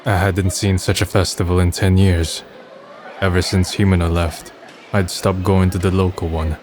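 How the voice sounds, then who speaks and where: Man acting a low-voiced, weary, cynical young man. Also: level tone, normal speed, darkish tone, a little hiss or hum, lightly acted. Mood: wistful, reflective. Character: weary, cynical young man narrating his final days. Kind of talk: fan manga dub, first-person narration and dialogue.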